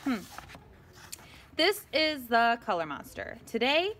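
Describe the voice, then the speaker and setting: Very animated, slow-paced woman reading to children. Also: on the high side, a little hiss or hum. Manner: expressive, slow and deliberate, addressing young children. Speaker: teacher reading aloud to young children. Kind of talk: animated picture-book read-aloud with asides to children.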